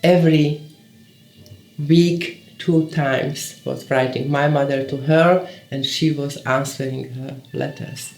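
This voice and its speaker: An older woman in her seventies whose first language is Hungarian, speaking slowly and thoughtfully. She halts and restarts as she searches for the right words. Her voice carries a noticeable roomy echo. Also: low voice, wildly swooping pitch, a little mellow, faint music behind. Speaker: poet. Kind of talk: reflective documentary interview. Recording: roomy echoey sound.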